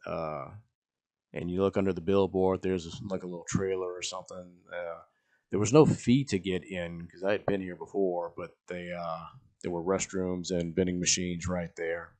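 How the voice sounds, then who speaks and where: Brief: man, very low voice, casual explanatory voice-over for travel footage. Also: level tone, normal speed, a little mellow. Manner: plain, explanatory, with frequent "uh"s. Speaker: travel vlogger. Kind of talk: voice-over narration of drone footage.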